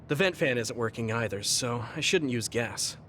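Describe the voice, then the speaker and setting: An actor playing a kind, level-headed young man in an anime game, gentle and considerate. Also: mid-range voice, lively intonation, normal speed, slight background noise, natural voice. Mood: kind, calm.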